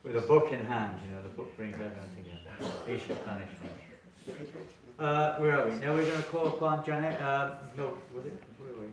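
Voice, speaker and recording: A man's voice: medium pitch, fairly quick, a crowd in the background. Man, host of a gathering, chatty and informal, thinks aloud, very echoey hall, far from the mic. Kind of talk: informal emceeing. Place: temple hall.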